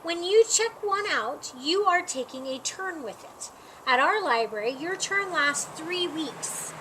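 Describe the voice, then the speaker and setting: A woman's voice: high-pitched, expressive, measured pace, pushed and bright, a little hiss or hum, heavily acted, rough recording. A woman voicing a friendly, helpful woman librarian in a storybook, welcoming and encouraging as she instructs schoolchildren. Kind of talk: storybook character read aloud.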